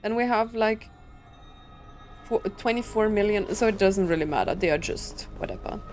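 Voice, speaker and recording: A woman gives casual, puzzled commentary as she plays through a video game, thinking out loud as she goes. Her voice sits at a middling pitch, and game music plays behind her. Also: normal speed. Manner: musing aloud, puzzled.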